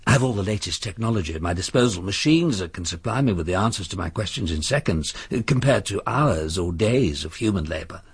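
An actor playing a cultured, self-assured wealthy recluse in a radio drama, husky and philosophising. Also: male voice, low-pitched, expressive, full character voice. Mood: urbane, self-satisfied. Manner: urbane, measured phrasing, philosophising.

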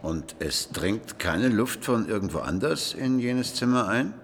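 Deep, commanding medieval ruler, male. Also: animated, measured pace, a little hiss or hum. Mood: probing. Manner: blunt, authoritative. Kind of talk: German radio play dialogue.

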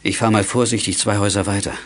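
A man's voice: on the low side, steady tone, fast, lightly acted. An actor playing a good-natured, fatherly middle-aged man helping child detectives in a children's radio play, starting his sentences a little hesitantly. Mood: good-humoured. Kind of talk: 1980s German children's radio play acting. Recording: noisy, reverberant old audio drama tape.

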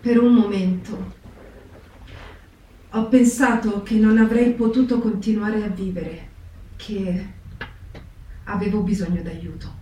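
A woman playing a humble, reflective young diarist who has known despair, in a theatre reading with deliberate pauses. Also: mid-range voice, fairly even intonation, mellow, slight background noise, lightly acted. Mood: weary, despairing. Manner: deliberate, pausing phrasing. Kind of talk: slow theatrical diary reading. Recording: echoey stage, slightly distant microphone.

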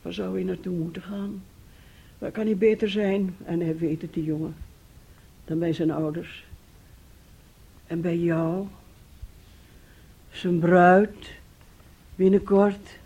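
A woman's voice: lowish voice, fairly even intonation, gravelly, dark tone, husky, dull audio, full character voice. An actress in a radio play voicing a despairing middle-aged mother, with a brooding, anguished way of speaking. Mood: weary, wistful. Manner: slow, brooding, anguished storytelling. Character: despairing mother, an aunt, anguished over her missing son. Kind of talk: Dutch-language radio play acting.

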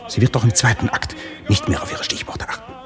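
An actor in a German radio play voicing a fretful, sardonic theatre director with a gravelly, grumbling, ironic delivery. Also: male voice, mid-range voice, very animated, fairly quick, music in the background, close to an everyday voice. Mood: worried, grumbling.

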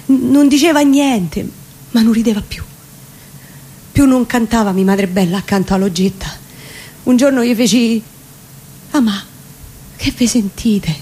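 A woman with a Roman accent playing a distraught working-class man confessing in dramatic dialect verse, pleading and halting. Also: mid-range voice, warm tone, natural voice. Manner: pleading, halting, emotive delivery. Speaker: reciting actress. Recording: live radio performance with background noise.

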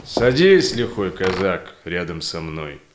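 Slow-spoken, hearty grateful merchant. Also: male voice, low-pitched, big pitch swings, heavy background noise, heavily acted. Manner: measured, declamatory verse delivery. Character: grateful, hearty, generous Siberian trading agent. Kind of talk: rhymed audio play acting.

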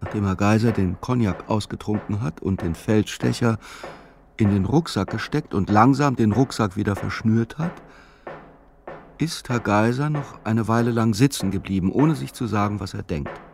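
A man narrating a literary radio play in a measured, sober, reflective way. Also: fairly low pitch, creaky, a little mellow, slight background noise.

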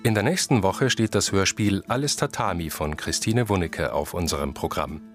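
A man's voice: low voice, normal speed. A man working as a radio announcer, reading a scripted programme announcement. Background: music.

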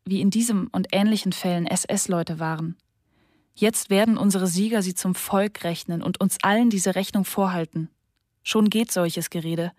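A woman reading a wartime diary for a radio feature, calm and measured. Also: mid-range voice, no room sound, clean studio sound.

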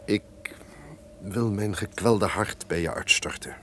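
An actor playing a guilt-ridden, suspicious castle lord, theatrical and declamatory, in an old-fashioned radio drama. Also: male voice, deep voice, takes it slowly. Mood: tormented, remorseful. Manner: theatrical, declamatory, swinging from barking orders to brooding. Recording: noisy old radio play recording.

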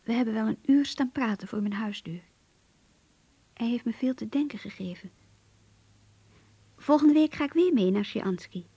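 A close, dry voice, mellow and breathy: a thoughtful young woman. She narrates intimately and reflectively, as if writing in a diary. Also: on the high side, normal speed, long pauses, dry recording, highs cut off. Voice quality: soft, breathy. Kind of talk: Dutch radio play monologue.

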